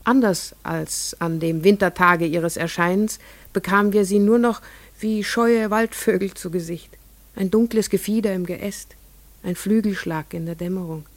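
An actress playing a farmer's wife and mother who tells her story in scripted first-person narration for a radio play. She speaks in a dreamy, measured way, hushed in places and rising to rapt wonder. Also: mid-range voice, fairly even intonation, close to an everyday voice.

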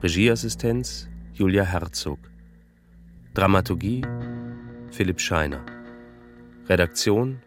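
A man announces radio play credits in a deep voice. His scripted reading is slow and measured, with guitar music clearly playing behind him.

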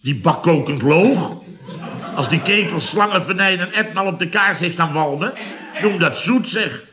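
An actor playing a grumbling, sarcastic middle-aged builder boss, blustering and exasperated, in 1970s Dutch radio comedy style. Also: male voice, high voice, animated, normal speed, crowd noise.

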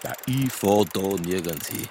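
An actor playing a grumpy, reluctant Bavarian police detective, blunt and grumbling, with a Bavarian accent in a radio drama. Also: male voice, low voice, unhurried, gravelly, over music. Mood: dismissive, grumbling. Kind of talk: naturalistic radio drama acting.

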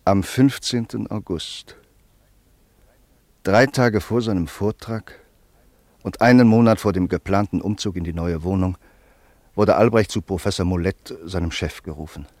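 Low, calm male radio narrator. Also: no echo. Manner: calm, measured. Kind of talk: scripted radio play narration. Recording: dry close studio sound.

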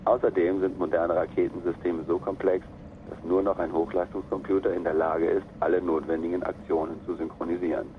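A man's voice: low voice, level tone, fairly quick. A man giving a plain, explanatory documentary account. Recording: tinny phone-like band, faint noise.

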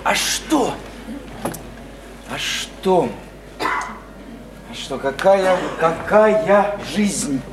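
A man's voice: sits high, expressive, extremely slow, long pauses, noisy background, exaggerated voice. An actor in a radio drama playing an awkward, polite visitor, uneasy and evasive, stammering and repeating his words. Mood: halting, reassuring. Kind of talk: stage-style radio drama acting.

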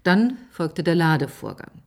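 Low-voiced, assertive woman scientist. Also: big pitch swings, unhurried, creaky, a little mellow, heavily acted. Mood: firm, explanatory. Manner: precise, formal, insistent. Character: assertive, methodical female scientist presenting her theory. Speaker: actor in a radio play. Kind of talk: German radio drama acting.

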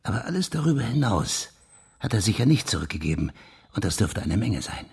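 An actor playing a police official in a German crime radio drama, insistently laying out his theory with a husky, gravelly voice. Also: on the low side, sing-song, a little fast, a little mellow, heavily acted.

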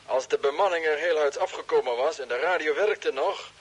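An actor playing a dutiful, worried base radio operator in an old radio drama, deferential and a little hesitant, with a husky voice. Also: male voice, a little high, brisk. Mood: respectful, worried.

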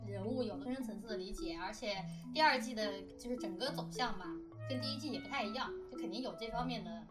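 A woman's voice: on the high side, quick pace. A woman chatting casually and animatedly about her work, rambling a little in a self-deprecating way. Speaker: radio drama director and discussion host. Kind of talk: casual chat about making audio dramas. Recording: slightly distant mic, music behind. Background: music.

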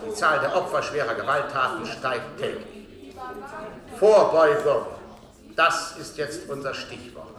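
A male head of government making a solemn, emphatic address to the nation. His voice is bright and forceful, at a middle pitch, with clear echo around it. It is delivered as formal oratory in a radio drama. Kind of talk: German radio play, formal political broadcast speech.

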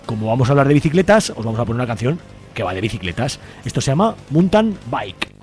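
Male radio host, Spain accent, mid-pitched, rapid delivery, informal chat style. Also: very animated, loud music behind the voice. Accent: Spanish (Spain). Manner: colloquial, informal. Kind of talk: casual radio interview and link.

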